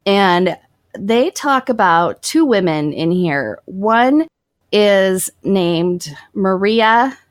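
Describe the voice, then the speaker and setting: A woman's voice: mid-range voice, expressive, very slow, dry recording, studio quality. A woman co-hosting a casual podcast, reading article passages aloud and joking about her own pronunciation. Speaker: podcast co-host.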